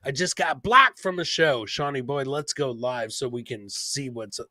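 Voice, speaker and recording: A man's voice: medium pitch, animated, normal speed, pushed and bright, dry recording. Brash man bantering on a dry mic. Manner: brash, heavily profane. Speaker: podcast host. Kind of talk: casual live-stream banter.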